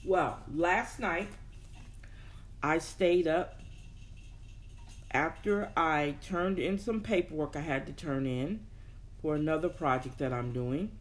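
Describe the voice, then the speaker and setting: Casual motivational host, mid-pitched voice. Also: slow, long pauses. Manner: informal, thinking aloud, repeats words for emphasis. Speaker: host of a personal development show. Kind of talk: casual motivational talk to an audience.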